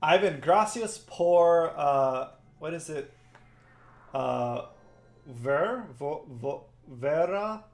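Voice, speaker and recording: A man's voice: on the high side, lively intonation, very slow. A man whose first language is Farsi, hosting a game stream and chatting casually with viewers by name. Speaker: streamer and host. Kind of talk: casual chat while gaming.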